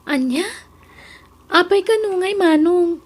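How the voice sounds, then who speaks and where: An actress with an Ilocano accent playing a polite, grateful young student mother, gentle and deferential, in a radio drama. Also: very high voice, takes it slowly. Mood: friendly, curious.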